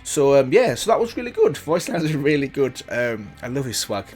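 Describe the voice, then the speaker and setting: A man's voice: mid-range voice, very animated, fairly quick, a little mellow, faint music behind. A man hosting a casual video game reaction, chatting enthusiastically with plenty of "um"s. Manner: enthusiastic, rambling, lots of fillers.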